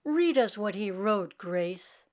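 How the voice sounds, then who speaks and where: A woman voicing a calm, proud father in a storybook, steady and deliberate. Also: medium pitch. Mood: curious.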